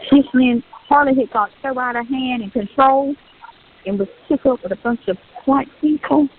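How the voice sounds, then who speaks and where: Southern US woman for a phone-call vent: insistent, repetitive, slightly high voice, narrow tinny line with faint hiss. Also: normal speed. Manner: insistent, repeats phrases for emphasis. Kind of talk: personal phone conversation, venting. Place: recorded prison phone call.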